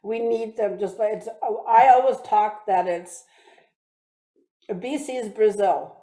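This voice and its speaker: Halting woman from British Columbia, echoey. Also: mid-range voice, warm tone. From British Columbia, Canada. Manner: halting, many restarts and fillers. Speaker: guest speaker. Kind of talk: talk with slides. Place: church hall or online meeting.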